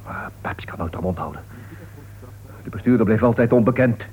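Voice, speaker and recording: An actor playing a flustered, hesitant club chairman in a radio play, halting and stammering deferentially. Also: fairly low pitch, lightly acted. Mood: uneasy. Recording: tinny, noisy old radio play recording.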